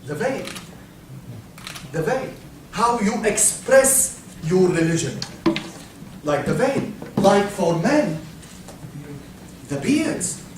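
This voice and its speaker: A man from Syria, giving a talk, halting and repeating words, asking the audience questions. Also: sits high, very animated, a little mellow. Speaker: speaker. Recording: echoey hall, from far off, faint noise.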